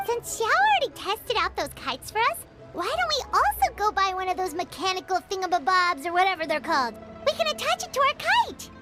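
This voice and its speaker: A woman voicing a small, eager, chatty childlike companion in a video game, with a squeaky voice. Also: very animated, fairly quick, loud music behind the voice. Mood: excited. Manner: bubbly, informal, eager.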